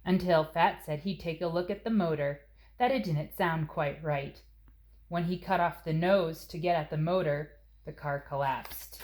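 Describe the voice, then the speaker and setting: A woman reading a children's story aloud as narrator, with expressive, deliberate phrasing. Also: low-pitched. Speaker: storybook reader.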